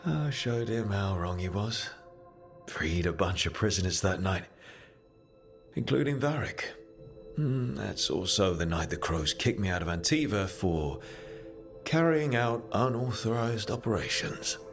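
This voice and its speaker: Over game music, a low-pitched young male hero speaks. He is a rebel assassin mage, confident and kind, with a wry self-assurance suited to reflective fantasy game monologue. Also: expressive, measured pace. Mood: resolute, reflective.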